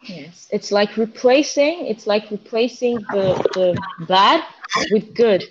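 A woman's voice: medium pitch, expressive, slow pace, breathy edge. Passionate young woman, muffled video call. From Africa. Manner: passionate, gestures while talking, some restarts. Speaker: co-host. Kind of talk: casual discussion on video call. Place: indoors at home. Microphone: video call, front camera.

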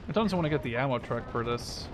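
A man's voice: medium pitch, lively intonation, fast talker, background noise. A man in his twenties thinking aloud as he plays a strategy game, muttering casual commentary with some swearing. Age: 20s. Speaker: gamer commentating own play. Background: game gunfire and artillery.

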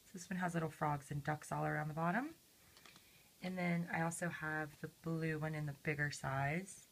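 A woman with a low voice, hoarse from yelling the day before, talks through her collection in a relaxed show-and-tell, pausing with soft ums. She sounds close to a handheld camera mic at home, with a faint hum of room ambience behind her. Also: low-quality recording. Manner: gentle, with many ums. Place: indoors at home, camera over a table. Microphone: handheld camera mic, speaker off-camera.

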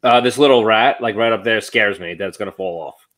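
A man's voice: on the low side, brisk, a bit bright. A man co-hosting a podcast, casually showing off collectible toys on a video call. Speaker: podcast co-host.

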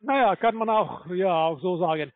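Male sales agent, high register, courteous and halting, tinny telephone sound. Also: no room sound. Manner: polite, chatty, hesitant. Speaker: call-centre sales agent. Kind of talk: polite sales phone call. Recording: narrow tinny phone line.

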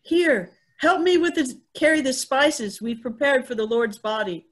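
A woman's voice: sits high, lively intonation, a little slow. A woman playing a devout, wondering mother in an amateur dramatic reading, telling her story earnestly. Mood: expectant. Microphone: video call.

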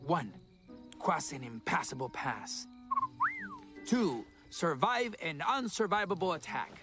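An actor voicing a naive, earnest young fairy-tale prince with a dramatic, boyish manner in an animated comedy film. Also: male voice, fairly high pitch, slow pace, over music. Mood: solemn, theatrical. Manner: dramatic, self-serious, boyish.

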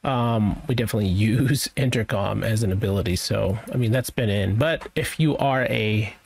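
Gravelly-voiced man giving a software walkthrough. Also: fairly low pitch, moderate pace. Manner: informal, rambling, thinking aloud. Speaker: IT tutorial presenter. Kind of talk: casual screen-share software walkthrough.

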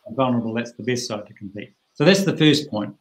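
A middle-aged man explains a card hand over a video call. He talks in a chatty, plain-spoken way, tossing in lively asides. His voice sits at an ordinary pitch. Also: fast talker, rough recording. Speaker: bridge teacher.

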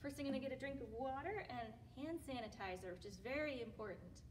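A woman's voice: high-pitched, fairly quick, a little hiss or hum. A young woman hosting a children's storytime, talking informally and directly to young kids. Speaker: children's librarian and storytime host. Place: indoors, library room with bookshelves. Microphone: distant camera microphone. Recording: distant camera microphone pickup.

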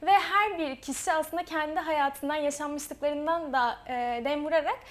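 A young woman, a student author, answering interview questions earnestly, pausing often to find her words. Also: high-pitched. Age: young adult. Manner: earnest, quick, with frequent hesitations.

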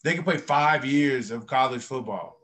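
A young man who played college football, talking as a guest on a sports podcast with emphatic, animated delivery over a laptop mic. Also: medium pitch, normal speed. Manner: emphatic, animated, uses many fillers. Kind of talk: casual sports talk. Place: indoor room.

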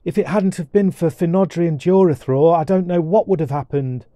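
A man's voice: a little high, a little fast, dark tone, no echo, studio quality, voice pushed up, lightly acted. A man voicing an earnest, eager young girl in a storybook adventure reading. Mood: relieved, amused. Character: earnest, relieved young girl in a fantasy tale.

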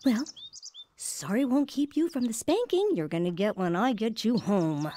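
A woman's voice: fairly high pitch, very animated, normal speed, heavy background noise. A woman playing a cruel, impatient mother in a radio drama, snapping and belittling. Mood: scolding, impatient. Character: cruel, scolding, impatient mother of a young girl.

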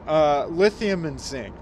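A man's voice: fairly high pitch, a little mellow, slight background noise. A man co-hosting a game recording, talking casually and hesitantly, with many fillers. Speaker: let's-play co-host. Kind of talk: casual gaming chat.

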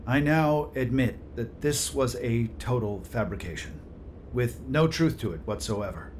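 An actor playing a remorseful middle-aged tech executive in a video game, speaking formally and solemnly as if making a public confession. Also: male voice, medium pitch, animated, darkish tone, a little hiss or hum, lightly acted. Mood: grave, resolute. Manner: solemn, formal, deliberate phrasing. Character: remorseful male tech CEO confessing his crimes. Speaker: company chief executive.

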